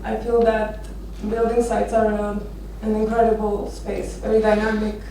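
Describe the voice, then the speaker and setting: A woman's voice: medium pitch, monotone, normal speed, warm tone. Woman presenting in a very echoey room. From Romania. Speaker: architecture photographer giving a conference talk. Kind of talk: informal presentation with personal stories. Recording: echoey noisy venue, distant microphone.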